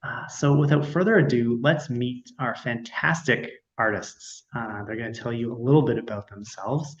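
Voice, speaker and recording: A man hosts an artist talk with a polite, informal and somewhat hesitant delivery. His voice is mid-pitched for a male speaker. The online call audio is echoey and a bit dull. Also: moderate pace. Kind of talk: informal event hosting.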